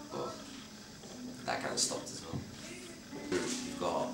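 Teen guy from Belgium, very low voice, distant in a roomy bar over loud music. Relaxed, halting interviewee. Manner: relaxed, hesitant, frequent restarts. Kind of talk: casual interview answers. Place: dim bar with red walls, indoors.

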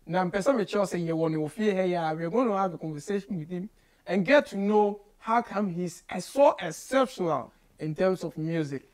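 A man's voice: high voice, moderate pace. A man with a Ghanaian accent hosting an entertainment interview in an emphatic, exclamatory way, switching languages as he goes. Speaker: radio show host. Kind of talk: lively entertainment interview, mixing English and Twi. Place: small indoor studio, seated at a desk.

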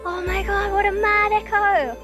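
A young woman narrating a travel vlog in a bright, enthusiastic, chatty way, filming herself on an action camera held at arm's length. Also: extremely high pitch, steady tone, normal speed, over music. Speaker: travel vlogger.

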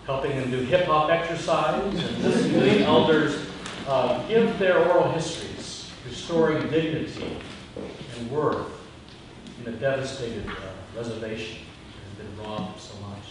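A man's voice: mid-range voice, normal speed, noisy background. A man leading a chapel service, making announcements and inviting the congregation to read together. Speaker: worship leader. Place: large chapel hall. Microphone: far room recording. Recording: echoey hall, far from mic, music behind.

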